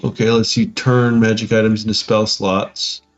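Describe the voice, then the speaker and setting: Dull-sounding halting man, co-hosting a podcast. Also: lowish voice, flat delivery, faint music behind. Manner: halting, repeating phrases. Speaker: podcast co-host. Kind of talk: casual chat while reading through game rules.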